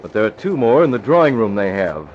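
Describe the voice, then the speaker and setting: Low, decisive radio hero. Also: male voice, very animated, fast talker. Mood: confident. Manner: decisive, earnest. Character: resolute, secretive male hero, masked vigilante. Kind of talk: old-time radio drama acting. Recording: muffled, hissy old radio recording.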